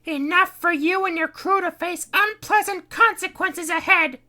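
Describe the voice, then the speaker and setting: A woman's voice: moderate pace, pushed and bright. A woman voicing a frantic young woman with claws who shrieks warnings. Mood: ominous. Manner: shrill, screaming warnings.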